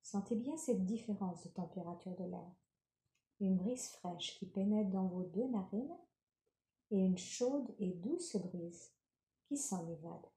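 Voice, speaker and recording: Slow, very mellow woman guiding relaxation. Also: medium pitch. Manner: calm, unhurried, gentle instructing. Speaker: yoga nidra relaxation guide. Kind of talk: guided meditation, soothing instruction. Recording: roomy, reverberant sound.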